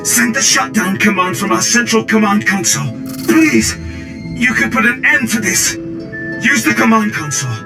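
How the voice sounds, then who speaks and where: High creaky robot soldier, tormented and pleading, glitchy droid filter, game music loud behind. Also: male voice, a little slow, full character voice. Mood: desperate, pleading. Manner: pleading and stammering, lapsing into flat system announcements. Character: anguished captive soldier trapped inside a droid body. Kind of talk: video game voice acting.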